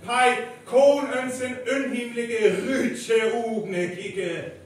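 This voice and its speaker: Male actor as dramatic storyteller, North Frisian accent, unhurried, distant roomy live recording with faint noise. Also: extremely high pitch, full character voice. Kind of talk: dramatic live radio play narration. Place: reverberant venue, distant microphone.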